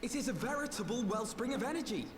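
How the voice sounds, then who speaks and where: A man's voice: very high-pitched, lively intonation, quick pace, reverberant, a little hiss or hum. An actor playing an earnest, bookish young male noble in a fantasy game, with eager, formal, precise phrasing. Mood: excited realization. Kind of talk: scripted fantasy game acting.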